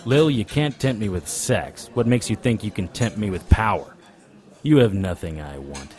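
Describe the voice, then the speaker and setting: A man's voice: fairly low pitch, expressive, moderate pace, a little mellow, natural voice. A man playing a weary, sardonic occult investigator in a noir audio drama, with a dry, wisecracking delivery. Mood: weary, dismissive. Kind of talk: noir audio drama acting with first-person narration. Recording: crowd chatter behind the voice. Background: crowd chatter.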